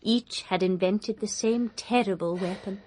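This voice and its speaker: A woman's voice: medium pitch, measured pace, darkish tone, close to an everyday voice. A woman playing a prim, bossy alien storyteller in a comic radio play, with a precise, superior, scolding manner. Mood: storytelling.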